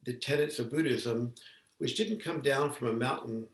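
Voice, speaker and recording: A man giving a measured Zen dharma talk as a teacher, speaking haltingly and deliberately with long pauses. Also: medium pitch. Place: indoor room, talk given over video call. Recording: roomy, echoey, distant microphone on video call.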